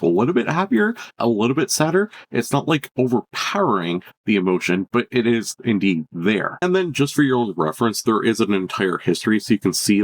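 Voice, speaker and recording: A man with a fairly low voice presents a tech tutorial. He talks informally and off the cuff, with a chatty, enthusiastic manner as he explains each step. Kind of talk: casual unscripted software walkthrough.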